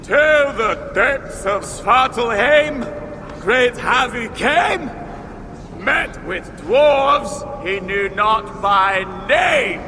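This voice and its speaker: An actor playing a boisterous, grandiose drunken dwarf poet in a video game, theatrical and declamatory. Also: male voice, very high voice, lively intonation, extremely slow, bright, forceful tone, exaggerated voice. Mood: exuberant. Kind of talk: video game cutscene acting. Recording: long hall reverb, clear background noise.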